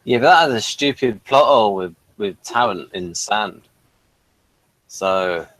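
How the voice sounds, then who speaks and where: A man's voice: lowish voice, lively intonation, unhurried, fairly bright tone, dry recording, poor audio. A man chatting casually as co-host of an episode review.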